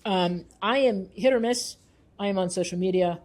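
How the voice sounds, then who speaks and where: A woman's voice: medium pitch, normal speed, a little mellow. A woman giving thoughtful interview answers as a researcher and author, explaining her views in an earnest, emphatic way.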